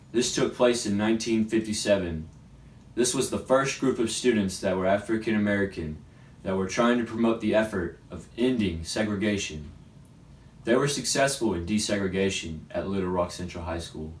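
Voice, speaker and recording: A young man reading scripted newsreel narration as the anchor. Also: fairly low pitch. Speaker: news anchor. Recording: echoey, distant mic, faint noise.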